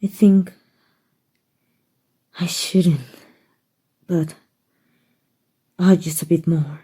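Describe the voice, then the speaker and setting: A woman voicing a kind, doting, aristocratic female vampire in a soft, gentle ASMR roleplay. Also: fairly low pitch, fairly even intonation, extremely slow, exaggerated voice. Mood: soothing, pleased. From Mexico. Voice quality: breathy, soft. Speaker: voice actor.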